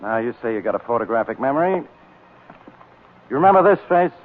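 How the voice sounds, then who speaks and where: Man playing a brusque, animated police inspector. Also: mid-range voice, fast talker, lightly acted. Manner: brusque, sarcastic. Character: gruff, irritable, sarcastic male police inspector. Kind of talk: 1940s radio drama acting. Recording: tinny 1940s radio recording.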